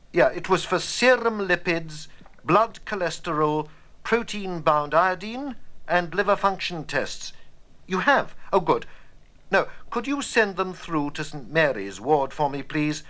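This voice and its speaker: Man acting a bright-voiced, expressive hospital doctor. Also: sits high, exaggerated voice. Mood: brisk, businesslike. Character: brisk, courteous male hospital dermatologist at work. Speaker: doctor in a scripted radio play. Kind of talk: educational radio play acting, dictation and phone calls.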